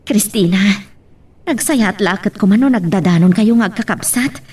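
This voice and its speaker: A woman playing a middle-aged Filipino mother in a radio-style drama, with a husky voice and an Ilocano accent. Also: mid-range voice, moderate pace, darkish tone, distant mic, close to an everyday voice. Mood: warm, accepting. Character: middle-aged Filipino mother, gentle but easily startled.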